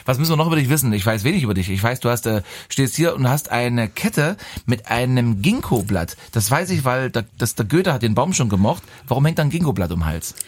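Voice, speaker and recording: Fast-talking radio host in his forties, male. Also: mid-range voice, sing-song, no echo. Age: 40s. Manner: playful, teasing, talkative. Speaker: radio morning show host. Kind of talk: chatty live radio presenting. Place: radio studio. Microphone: close studio microphone.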